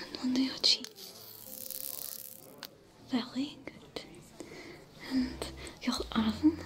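A woman voicing a young female alien smuggler with a French accent, who gently tends a stranger's wounds in a whispered, soft-spoken ASMR roleplay. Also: high voice. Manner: gentle, reassuring, coaxing. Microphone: close to the camera.

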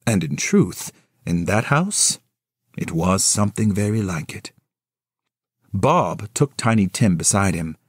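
A man giving theatrical storybook narration, expressive and dramatic with measured phrasing. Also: deep voice, slightly rough, no room sound, clean studio sound. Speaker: narrator and solo voice actor.

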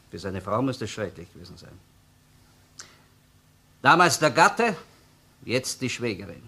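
Sardonic, needling Viennese police interrogator. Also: male voice, fairly low pitch, sharp tone, full character voice. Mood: mock-sympathetic, sly. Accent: Austrian, Viennese. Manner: taunting rhetorical questions, repeats words, tag questions. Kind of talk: German-language radio crime drama acting.

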